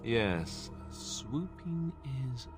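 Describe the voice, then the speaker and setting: A man's voice: medium pitch, sing-song, drawn-out words, faint music behind. A man voicing a wry young warrior with dry, sarcastic humor in a video game.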